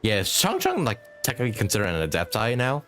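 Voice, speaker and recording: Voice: medium pitch, wildly swooping pitch, fast talker, a bit bright, soft background music. A game streamer giving casual, giggly live commentary while musing aloud.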